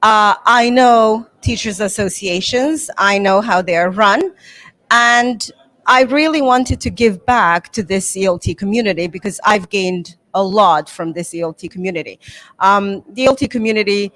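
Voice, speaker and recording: A woman's voice: mid-range voice, moderate pace, fairly bright tone. Middle-aged balkan woman, serbo-croatian native, talks earnestly about teaching, lots of ums. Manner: earnest, reflective, with fillers. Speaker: materials writer and incoming association president. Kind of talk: conference interview.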